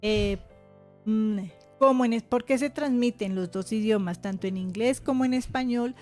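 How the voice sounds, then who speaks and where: Woman, interpreter, fairly high voice, hesitant fillers, faint background music. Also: moderate pace, darkish tone. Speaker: interpreter and co-pastor. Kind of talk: live interpreting into Spanish.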